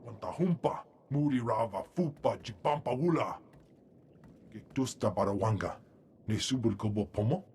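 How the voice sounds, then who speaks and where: An actor playing an alien in a video game, speaking clipped strings of an invented tongue. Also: male voice, mid-range voice, moderate pace, darkish tone, lightly acted. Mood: solemn, alien. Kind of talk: video game creature acting. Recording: faint noisy game ambience.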